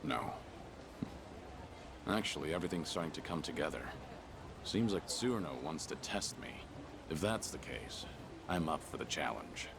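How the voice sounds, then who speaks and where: An actor voicing a stoic, tough underworld fighter in a video game, speaking in a terse, serious, guarded way. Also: male voice, rumbling low, lively intonation, moderate pace, gravelly, long pauses, noisy background, exaggerated voice. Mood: terse, resigned.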